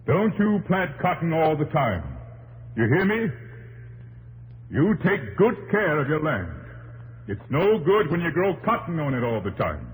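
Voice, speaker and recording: A man's voice: a little high, sing-song, measured pace, long reverb tail, low-quality recording. An actor playing an earnest, insistent male professor and inventor in 1950s radio drama, speaking in an emphatic, instructing way. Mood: stern, urging. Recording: 1950s radio broadcast, faint noise.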